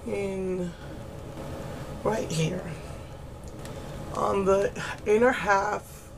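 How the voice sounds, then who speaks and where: A woman's voice: mid-range voice, steady tone, extremely slow, creaky. A middle-aged woman talks through a makeup tutorial in a loose, rambling way, full of ums. Her voice is stuffy and congested as she recovers from laryngitis. She sits close to the camera in a small room, with a faint hiss behind her. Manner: chatty, rambling with many ums. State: congested, getting over laryngitis. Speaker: makeup vlogger. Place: indoors, small room against a pale blue wall. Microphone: camera close to the face.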